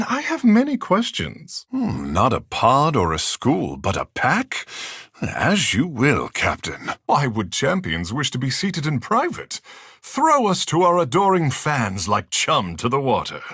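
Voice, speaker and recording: An actor voicing a vain, flirtatious sea-folk water shaper in a video game, with theatrical, languid, florid delivery. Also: male voice, on the high side, huge pitch swings, measured pace, a bit bright, husky, dry recording, clean studio sound, exaggerated voice. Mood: musing, then proud, courtly. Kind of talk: video game character dialogue.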